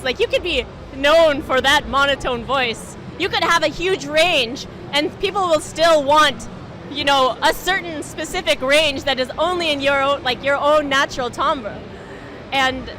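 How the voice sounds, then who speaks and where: Bright, lively woman voice actor. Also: high voice, a little hiss or hum. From United States. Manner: animated, laughs often, slips into character voices. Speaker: voice actor guest. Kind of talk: casual interview chat. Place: gaming festival.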